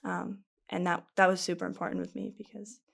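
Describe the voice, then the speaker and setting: A woman's voice: on the low side, level tone, fairly quick, gravelly, fairly bright tone. A young woman from western New York, a nursing student, talking through her choices in a thoughtful, chatty way. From western New York, USA. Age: young adult.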